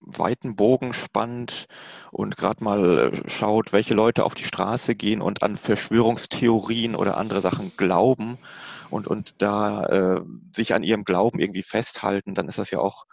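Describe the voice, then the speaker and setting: A man's voice: fairly low pitch, steady tone, normal speed, gravelly, a little mellow, dry recording. A man moderating a panel discussion over a video call, asking long questions with many fillers and restarts.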